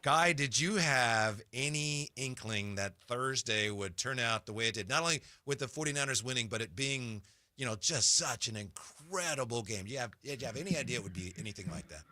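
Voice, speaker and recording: A man's voice: mid-range voice, expressive, brisk, sharp tone. A man hosting a casual sports radio show, effusive and upbeat toward his guest.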